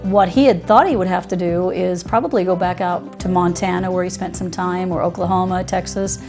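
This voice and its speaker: A woman's voice: lowish voice, fairly even intonation, fairly quick, a little mellow. A middle-aged woman giving a conversational promotional testimonial as a satisfied customer. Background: music under the voice.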